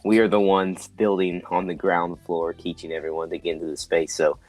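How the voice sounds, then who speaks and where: A man with a very deep voice hosts a relaxed podcast interview. He is upbeat and encouraging, often repeating his approval, and faint music runs beneath his voice. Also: a little fast, low-quality recording. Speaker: podcast host.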